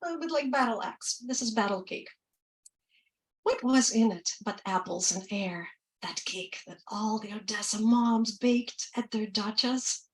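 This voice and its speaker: A woman from Ukraine reading her poems slowly and deliberately, with quicker, chattier asides between them. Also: fairly high pitch, distant mic, poor audio. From Ukraine, former Soviet Union. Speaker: poet and translator. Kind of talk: poetry reading with spoken asides.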